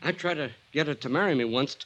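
An actor playing a nervous aging American ex-con entertainer in an old radio drama, stammering and pleading in street slang. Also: male voice, on the high side, fast talker, a bit bright, highs cut off, lightly acted. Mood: anxious, earnest. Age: older.

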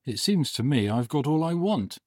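Man putting on a husky, curious old man. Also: fairly high pitch, sing-song, normal speed, no echo, clean studio sound, voice pushed up. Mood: sheepish, unsure. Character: curious, hesitant old father and husband. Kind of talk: dramatised storybook character reading.

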